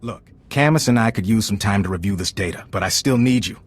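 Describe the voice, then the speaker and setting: A man's voice: on the low side, fast, slightly rough. A man playing a wry, easygoing field agent in a video game, talking informally. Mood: wry, businesslike. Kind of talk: video game dialogue acting.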